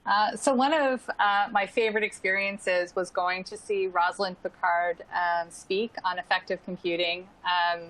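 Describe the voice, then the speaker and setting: A woman's voice: medium pitch, steady tone, normal speed, hardly any pauses, fairly bright tone, poor audio. A woman speaking as a panelist in an online discussion, casual and sprinkled with "like" and "um". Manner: casual, frequent "like" and "um".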